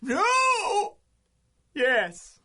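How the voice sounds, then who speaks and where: Cornered radio-play hoodlum. High-pitched, strained, forceful; defiant bluster over craven nerves. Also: male voice, huge pitch swings, very slow, full character voice. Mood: cowed, confessing. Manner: blustering, pleading, stammering. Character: cornered male small-time crook, defiant, panicky, craven. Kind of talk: 1930s-style radio drama acting.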